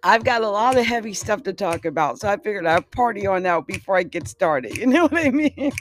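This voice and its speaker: A hoarse speaker chatting casually about astrology, rambling and giggling often. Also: mid-range voice, expressive, fairly quick, faint music behind. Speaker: astrology vlogger.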